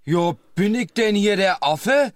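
An actor playing a slow-witted, reluctant underling in a German radio drama, speaking colloquially and protesting. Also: male voice, high voice, animated, no room sound. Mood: indignant. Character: slow-witted, reluctant male underling who balks at being used.

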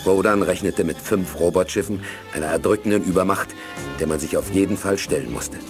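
A man acting as the serious, measured narrator of a scripted 1980s science fiction radio play. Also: low voice, music in the background. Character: measured, serious storyteller of a space saga.

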